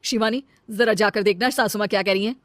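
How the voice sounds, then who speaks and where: A woman's voice: medium pitch, fairly even intonation, fast, no echo, clean studio sound, natural voice. A woman voicing a dutiful, hardworking elder daughter-in-law in a family comedy cartoon, earnest and emphatic, easily exasperated. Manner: earnest, emphatic, scolding when annoyed. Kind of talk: acted Hindi family comedy story.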